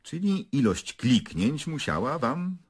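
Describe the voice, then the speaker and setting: An actor playing an unflappable prosecutor who questions formally and insistently in a radio drama. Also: male voice, medium pitch, very animated, slow, breathy edge, heavily acted. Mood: pressing, accusing. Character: probing, unflappable male prosecutor leading a murder investigation. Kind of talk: Polish radio drama acting.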